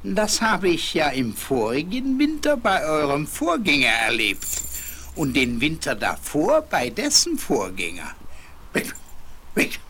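An actor playing a gruff, scornful old yard dog in a fairy-tale radio play, with a gravelly, husky voice and an animated, know-it-all manner. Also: male voice, on the high side, unhurried, full character voice.

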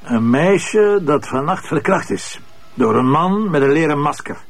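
Police commissioner, Flemish accent, gravelly, husky, dry sardonic manner, close dry radio sound. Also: male voice, fairly high pitch, animated, measured pace, no echo. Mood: probing.

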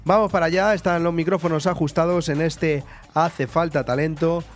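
A man's voice: on the high side, fairly even intonation, normal speed, faint music behind. A man hosting a comedy talent-show sketch on the radio, playing a playful presenter and judge.

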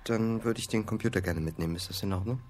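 An actor playing a laconic investigator in a radio drama, asking brief, dry questions in a natural way. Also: male voice, lowish voice, fast talker, a little mellow, a little hiss or hum, natural voice. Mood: dry, inquiring.

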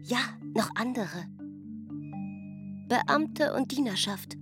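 A woman's voice: fairly high pitch, lively intonation, slow. An actress playing a pensive village woman in a German radio drama, recalling things with deliberation. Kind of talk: German radio play acting. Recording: mallet and singing-bowl music under voice. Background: ringing mallet and bowl music.

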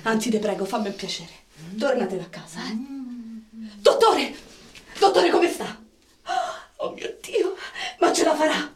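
A woman's voice: on the high side, sing-song, measured pace, long pauses. A woman playing a hot-tempered, distrustful Roman in a radio drama, with a Roman Italian accent and an accusing, exclamatory manner. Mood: distraught, furious. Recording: echoey room, distant from microphone.

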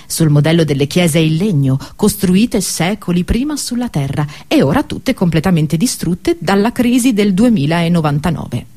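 A woman narrating a scripted literary travel tale for radio theatre, with measured, deliberate phrasing. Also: lowish voice, expressive, dark tone.

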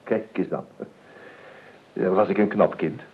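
An actor playing a nervous, evasive, eccentric gentleman in a radio play, stammering and repeating himself with ironic courtesy. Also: low-pitched, measured pace, slightly rough, heavily acted. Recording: tinny, band-limited old radio recording with noise.

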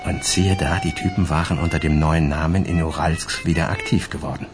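A world-weary male investigator in a radio crime drama. His voice sits very low and he lays things out deliberately and with gravity, while music plays clearly behind him. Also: wildly swooping pitch, exaggerated voice. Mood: grave, measured.

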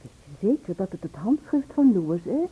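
A woman acting in a Dutch radio play as an anxious, fragile woman who speaks haltingly. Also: medium pitch, very animated. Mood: uneasy, guarded. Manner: hesitant, halting, breathy. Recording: muffled narrow-band old radio recording.

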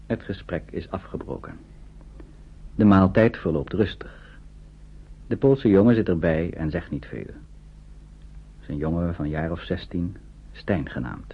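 Low-voiced male radio drama narrator. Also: breathy edge. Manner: measured, sober. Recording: dull, noisy old radio recording.

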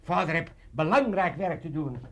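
An actor playing an excitable, self-important older father in a comic radio play, rambling and stammering pompously. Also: on the high side, moderate pace, noisy background. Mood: excited, pompous. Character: excitable self-important old Amsterdam father, dreaming of politics.

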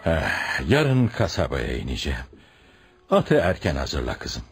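An actor in a Turkish radio play as a stubborn, pious older village hodja and father, speaking emphatically and deliberately in proverbs. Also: extremely low pitch, wildly swooping pitch, vocal fry, breathy edge, faint music behind, full character voice. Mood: stern. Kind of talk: Turkish radio theatre acting.

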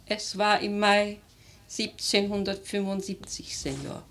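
An actress playing a strong-willed, life-loving old aristocratic grandmother in a classic German radio drama, speaking in an expressive, deliberate, literary way. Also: mid-range voice, slight background noise, lightly acted. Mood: sombre, remembering. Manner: theatrical, deliberate, expressive, sometimes dropping to a whisper.

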